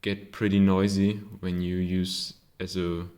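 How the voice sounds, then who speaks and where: Slow, low-voiced German man. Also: completely flat delivery, darkish tone. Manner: hesitant, many ums and self-corrections. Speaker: maker showing his circuit board. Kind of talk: casual show-and-tell.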